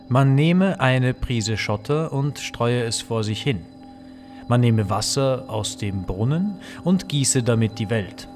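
Male reader for literary audio piece: measured, unhurried recitation, mid register, over music. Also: mid-range voice. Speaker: narrator. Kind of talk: scripted literary narration.

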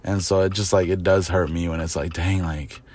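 A young man chatting casually as a content creator, halting and full of fillers, getting excitable. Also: sits low, husky. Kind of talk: casual podcast chat.

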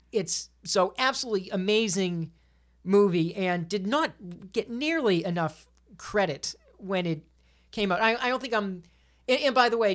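A woman on a podcast panel casually discussing films in an emphatic, opinionated way. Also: fairly low pitch.

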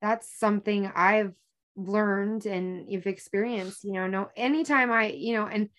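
A woman's voice: mid-range voice, steady tone, measured pace, fairly bright tone. A woman in her thirties chatting casually as an interviewer, informal, agreeable and rambling. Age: 30s. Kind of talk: casual chat.

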